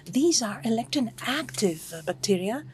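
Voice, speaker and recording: A woman interpreting a formal lecture in real time, with halting, formal phrasing and pauses as she follows the speaker. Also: a little high, very animated, a little mellow, a little hiss or hum. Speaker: conference interpreter.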